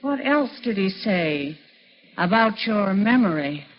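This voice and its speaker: An actress playing a fussy housekeeper in 1950s radio drama style. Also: female voice, mid-range voice, expressive, takes it slowly. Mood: flustered, probing. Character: fussy female housekeeper, secretly an accomplice. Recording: muffled, hissy vintage radio recording.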